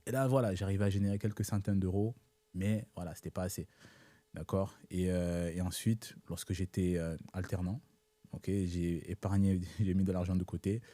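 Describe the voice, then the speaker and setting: A young man, born in Italy and raised in Africa, who learned French later, talking as an interview guest in a measured way, checking in with his listener. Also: deep voice, little pitch movement, dark tone, no room sound. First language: not French. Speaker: guest entrepreneur. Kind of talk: casual interview talk.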